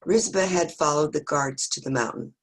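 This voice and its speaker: A woman's voice: low-pitched, moderate pace, poor audio. A woman reading a scripted, dramatic narration of a Bible story as a church reader.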